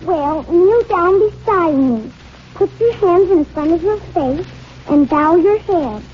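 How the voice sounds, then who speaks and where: Very high-voiced sweet little girl. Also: animated, takes it slowly, full character voice. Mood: earnest, tender. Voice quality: small childlike voice. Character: sweet innocent little girl, sleepy, trusting. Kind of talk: 1940s radio acting. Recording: muffled noisy 1940s radio recording.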